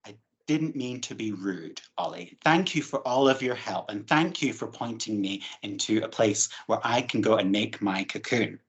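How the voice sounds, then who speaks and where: A storyteller voicing a small, worried female caterpillar who talks in a fretful, cross, higher voice. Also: moderate pace, highs cut off, natural voice. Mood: apologetic.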